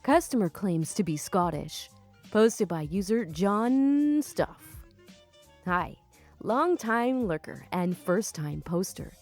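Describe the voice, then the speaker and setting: Young woman storyteller, chatty and laughing, mid-pitched, casual reading. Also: animated, slow pace, darkish tone, soft background music. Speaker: storytime YouTuber. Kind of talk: casual reading of online stories with commentary.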